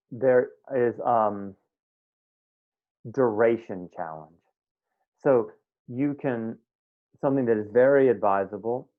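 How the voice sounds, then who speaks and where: Man teaching meditation over a tinny line. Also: mid-range voice. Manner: relaxed, conversational, checks in with students often. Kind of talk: live online class teaching. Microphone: video call.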